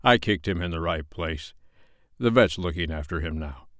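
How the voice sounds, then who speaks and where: A man's voice: low voice, normal speed, a bit bright, no echo, clean studio sound, voice pushed down. A man voicing a blunt, grumbling male plow horse in a children's storybook, matter-of-fact and sure of his own patience. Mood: self-justifying.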